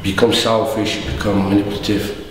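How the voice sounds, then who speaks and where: Man, 30s, low and candid, distant mic, boomy hall. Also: flat delivery, a little fast, crowd noise. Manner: reflective, candid. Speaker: hostel resident. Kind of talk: documentary interview.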